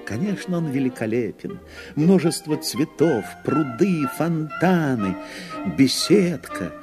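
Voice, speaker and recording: A man narrates a fairy tale in a medium-pitched voice. His scripted delivery is slow and richly varied in tone. Violin music plays clearly behind him. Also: big pitch swings.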